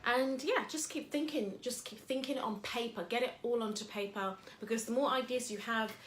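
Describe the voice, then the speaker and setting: A woman's voice: fairly high pitch, moderate pace, fairly bright tone. Woman, motivational host, chatty, rambling, echoey room, faint hum. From England. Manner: chatty, rambling, many fillers. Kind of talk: casual motivational talk.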